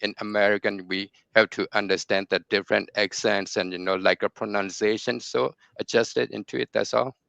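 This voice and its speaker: A man with a low, gravelly yet smooth voice answers interview questions. He speaks slowly with many pauses and fillers, heard over dull, lo-fi, dry call audio. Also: fairly even intonation, fairly bright tone, no room sound. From Burma. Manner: slow, hesitant, many fillers.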